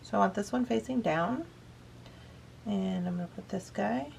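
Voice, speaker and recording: A woman's voice: mid-range voice, normal speed, creaky, darkish tone, slight background noise. A woman hosting a beading tutorial, explaining each step in a chatty, easygoing way while she works. She is a bit sniffly. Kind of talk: casual step-by-step craft demonstration.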